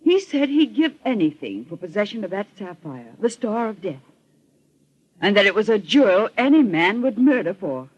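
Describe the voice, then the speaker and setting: A woman's voice: mid-range voice, very animated, moderate pace, slightly rough. An actress playing a poised, accusing society woman in a 1940s radio melodrama, formal and emphatic. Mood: insistent, accusing. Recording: muffled old radio recording.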